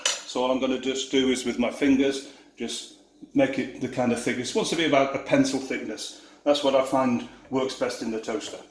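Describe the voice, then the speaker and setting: A man with a Yorkshire accent giving a relaxed cooking demonstration in his home kitchen, easygoing and self-deprecating as he works. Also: mid-range voice, a little fast, a little hiss or hum. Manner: easygoing, self-deprecating, talks while working. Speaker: cooking video host. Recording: echoey kitchen with clattering dishes.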